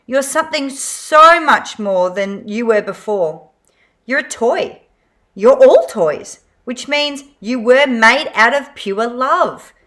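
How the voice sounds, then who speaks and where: Woman as a sincere spork toy: slightly raised, animated, plain-spoken. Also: a little high. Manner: earnest, matter-of-fact. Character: earnest young spork toy, puzzled then rallying.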